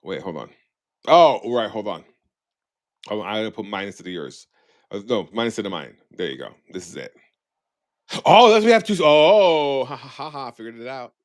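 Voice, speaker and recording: A man co-hosting a podcast, chatting in a sassy, slangy, animated way over a video call. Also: mid-range voice, normal speed, long pauses. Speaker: podcast co-host. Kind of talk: casual chat.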